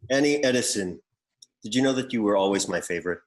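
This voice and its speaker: A man playing a pompous, deadpan executor who reads out bequests in a comedy script table read. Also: on the low side, fast, natural voice. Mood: formal, solemn. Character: pompous male executor reading out an eccentric bequest.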